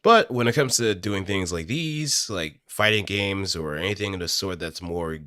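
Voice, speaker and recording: A man's voice: low voice, moderate pace, pushed and bright, no room sound. A man talking casually and informally on his own into a close studio mic, rambling a little as an amateur voice actor. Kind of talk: casual solo chat while practicing voice lines. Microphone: close studio microphone through an audio interface.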